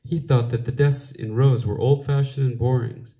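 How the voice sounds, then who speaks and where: A man reading a children's picture book aloud in an expressive storytelling style, with deliberate phrasing. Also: medium pitch, dull audio. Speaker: storybook reader.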